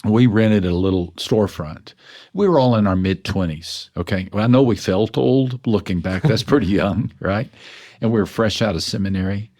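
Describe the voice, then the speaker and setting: Man, pastor, deep voice, earnest, self-deprecating, storytelling interview guest. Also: normal speed, darkish tone. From West Texas. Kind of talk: reflective storytelling in conversation.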